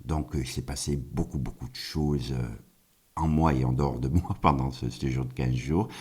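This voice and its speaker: A man's voice: rumbling low, moderate pace, darkish tone, husky. A middle-aged man from France talking casually and reflectively as a singer-songwriter guest in a radio interview, often in short phrases.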